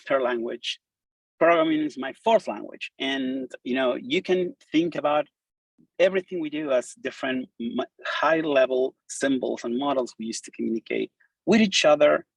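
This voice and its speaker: A man's voice: medium pitch, creaky, slightly rough, dry recording, rough recording. A middle-aged man from Argentina with an Argentine Spanish accent, native Spanish speaker, giving an informal, enthusiastic meetup talk full of fillers and restarts. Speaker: conference speaker. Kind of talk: live meetup talk with demo.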